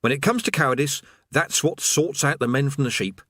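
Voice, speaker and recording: A man reading an audiobook, voicing a cowardly male wizard who speaks in a wary, dry, deadpan way. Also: medium pitch, brisk, no echo, pitched above the performer's own voice. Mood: wry.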